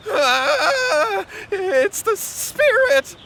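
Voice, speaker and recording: A man's voice: very high voice, sing-song, very slow, noisy background, exaggerated voice. A man voicing a cowardly, scheming, anxious brother in a video game, with an earnest, pleading manner. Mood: terrified.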